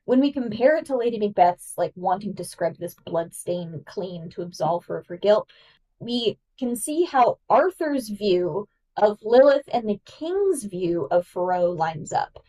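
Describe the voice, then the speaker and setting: A woman's voice: mid-range voice, expressive, measured pace, mellow, far from the mic, low-quality recording. A woman guest-hosting a podcast, talking through ideas in an eager, analytical way with lots of fillers. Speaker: guest host. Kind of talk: casual podcast discussion.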